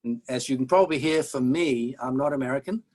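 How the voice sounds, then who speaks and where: A man's voice: medium pitch, fairly quick, a little mellow. A middle-aged man from Denmark with a Danish accent, giving a college music lecture in a discursive, wry manner with self-deprecating jokes. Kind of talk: opening class lecture.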